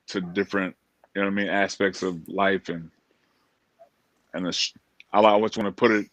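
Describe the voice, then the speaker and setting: An American man gives an informal interview in a low voice. He speaks earnestly and casually, sometimes haltingly, doubling back on his words. The sound is dry, with little room around it. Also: fairly bright tone, no room sound. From Springfield, Massachusetts, USA. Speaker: interview guest, motivational speaker.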